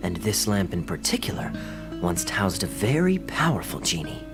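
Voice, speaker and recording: An actor playing a suave young male knight who talks in a smooth, playful, gently mocking way. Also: low-pitched, sing-song, moderate pace. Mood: relaxed. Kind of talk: game voice acting. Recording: game audio with music behind.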